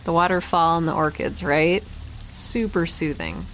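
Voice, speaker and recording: Casting: actress for audio drama space scientist, weary and wry, lowish voice, halting, unhurried delivery. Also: female voice, sing-song, a little hiss or hum, rough recording. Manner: hesitant, stammering, wry. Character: weary, rambling young woman scientist stranded in space. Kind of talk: naturalistic audio drama acting.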